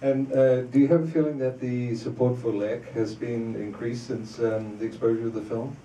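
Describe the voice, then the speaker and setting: A man moderating a festival Q&A, hesitant and relaxed, with an easy joking manner. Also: medium pitch, normal speed, slight background noise. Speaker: panel moderator. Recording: echoey hall, far from mic.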